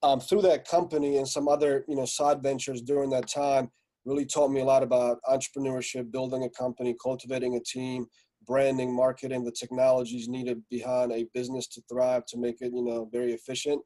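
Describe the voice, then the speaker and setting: A man from Slovakia in his forties, a guest on a podcast, telling his story in a relaxed, rambling way with many fillers. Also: mid-range voice, fairly quick. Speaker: guest, music entrepreneur. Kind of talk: casual podcast interview.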